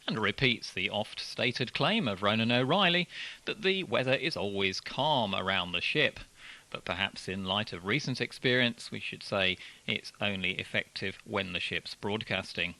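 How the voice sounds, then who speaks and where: A man's voice: fairly low pitch, expressive, unhurried, bright, forceful tone, low-quality recording. A man reading articles aloud as scripted narration, as a radio presenter.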